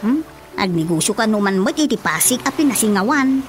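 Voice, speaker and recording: A woman's voice: mid-range voice, very animated, a little fast, a little hiss or hum, lightly acted. A Filipino woman with an Ilocano accent playing a polite, chirpy young village daughter in a radio drama comedy. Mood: polite, chatty. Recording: music behind the voice.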